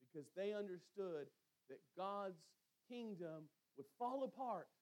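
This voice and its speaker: Male preacher, high-set voice, sermon delivery that leans on repetition and weighted pauses for emphasis. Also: expressive. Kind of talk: sermon with scripture reading and storytelling.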